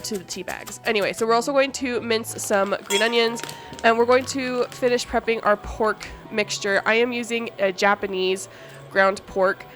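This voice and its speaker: A woman's voice: fairly high pitch, normal speed, soft background music. A woman hosting a cooking video in a casual, chatty way. She sounds congested from allergies. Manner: chatty, relaxed, talks while cooking. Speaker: cooking channel host. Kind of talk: casual cooking vlog narration.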